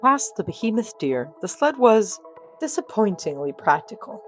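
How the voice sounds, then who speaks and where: A woman's voice: medium pitch, sing-song, normal speed, soft background music. A woman narrating scripted comic fiction as a storyteller, with wry, dry asides.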